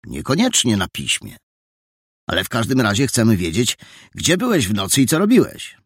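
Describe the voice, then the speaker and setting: Man playing an officious, suspicious boy leader; mildly raised pitch. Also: wildly swooping pitch, normal speed, slightly rough, no echo, clean studio sound.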